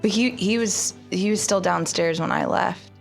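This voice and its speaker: A woman's voice: fairly low pitch, moderate pace, gravelly, soft background music. A woman player in a tabletop game, talking casually and thinking aloud as she plays her character.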